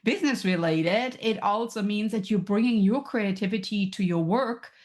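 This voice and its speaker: A woman's voice: mid-range voice, moderate pace. A woman from Germany with a German accent, a podcast guest who explains her ideas thoughtfully, with examples. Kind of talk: casual interview chat.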